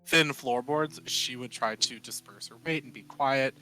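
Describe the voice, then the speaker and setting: A man's voice: medium pitch, measured pace, bright, forceful tone, poor audio. A man chatting casually as a player in a tabletop role-playing game, describing his character's moves. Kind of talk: casual improvised game chat.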